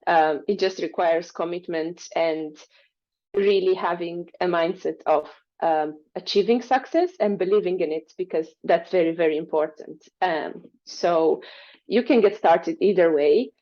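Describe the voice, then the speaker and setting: A woman's voice: fairly low pitch, a little slow. A woman from Romania, a freelancer guest, answering interview questions in a friendly, fluent way with some fillers. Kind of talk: interview answers over a call. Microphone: video call. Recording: dull lo-fi call audio.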